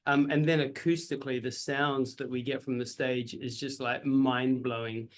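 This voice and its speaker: A man's voice: medium pitch, flat delivery, normal speed. An Australian man hosting an interview, casual and enthusiastic, full of hype for the show. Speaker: radio host. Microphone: video call.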